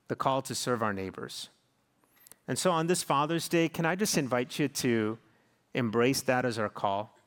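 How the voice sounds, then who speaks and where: A man in his thirties preaches to his congregation, his voice sitting in an ordinary middle register. He tells stories and prays in an earnest, pastoral manner. A long reverb trails after him, as in a large church hall. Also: medium pitch. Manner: warm storytelling, earnest pastoral delivery. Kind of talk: sermon with closing prayer.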